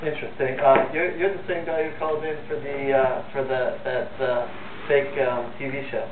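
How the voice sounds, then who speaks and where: A man's voice: medium pitch, steady tone, normal speed. A man hosting a live political phone-in show, speaking off the cuff in an earnest, opinionated way. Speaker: host of a call-in political talk show. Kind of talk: unscripted live phone-in talk. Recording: phone-like, roomy, distant, noisy TV audio.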